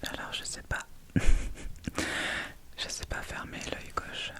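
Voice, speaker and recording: A woman whispering an ASMR makeup tutorial, hushed and unhurried, talking through each step as she goes. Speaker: ASMR video creator. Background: soft thunderstorm ambience.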